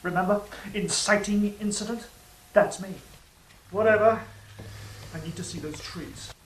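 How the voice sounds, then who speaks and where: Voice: medium pitch, a little slow, slight background noise, lightly acted. An actor as a sly serpent, roomy and distant. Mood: sardonic. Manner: sardonic, smug, needling. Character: the Serpent of Eden, sly, sardonic and insistent. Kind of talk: stage-style audio drama acting.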